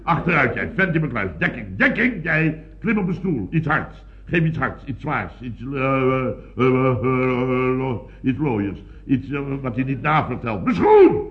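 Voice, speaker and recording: An actor playing a pompous, excitable boss of a building firm, sputtering and repeating himself in an old radio comedy. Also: male voice, medium pitch, unhurried, few pauses. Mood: panicky. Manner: sputtering, pompous, repeats words, mock-solemn exclamations. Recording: dull vintage radio recording.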